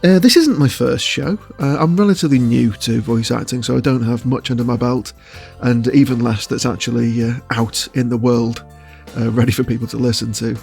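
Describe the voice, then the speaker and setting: A man's voice: medium pitch, a little fast, vocal fry, darkish tone, faint music behind. A man giving casual interview answers as a voice actor, choosing his words with hesitation and often chuckling.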